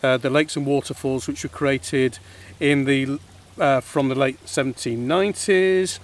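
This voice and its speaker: A man hosting an interview podcast, pausing often with uhs and restarts. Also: medium pitch, fairly even intonation. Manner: hesitant, many uhs and restarts. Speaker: podcast host.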